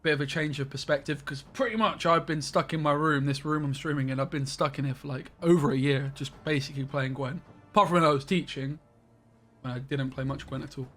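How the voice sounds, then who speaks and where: A young man rambling through a live game stream from his bedroom, his voice sitting a touch high. He greets chat by name in a loose, chatty way, with faint game music underneath. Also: fairly quick, fairly bright tone.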